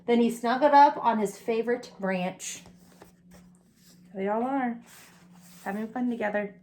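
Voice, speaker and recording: High-voiced woman reading to young children. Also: unhurried, darkish tone, a little hiss or hum. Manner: explains pictures and asks listeners questions. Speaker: storybook reader for preschool children. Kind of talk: read-aloud picture book with chatty asides to young children.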